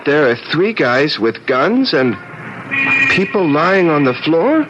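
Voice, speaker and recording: An actor playing an eager young amateur photographer in love, in a 1970s radio drama. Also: male voice, on the high side, sing-song, slow, lo-fi sound. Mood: baffled. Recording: noisy 1970s radio broadcast recording.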